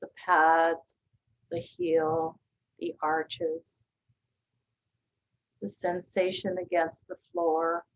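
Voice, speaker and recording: A woman leading a guided body scan meditation, speaking gently and calmly with long, unhurried pauses. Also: lowish voice, gravelly, low-quality recording. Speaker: meditation teacher.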